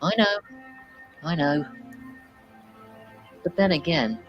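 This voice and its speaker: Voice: mid-range voice, animated, slow, lo-fi sound. Casting: voice actor as a worried, wistful engine, over music. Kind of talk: fan-made film dialogue.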